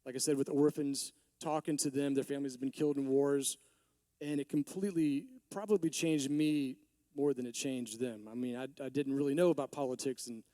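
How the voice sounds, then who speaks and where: Hoarse Texan businessman speaking at a summit. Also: mid-range voice, steady tone, brisk, warm tone, no echo. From Texas. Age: 40s. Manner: rambling, many restarts. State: hoarse from allergies. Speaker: business owner giving a talk. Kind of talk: conference speech.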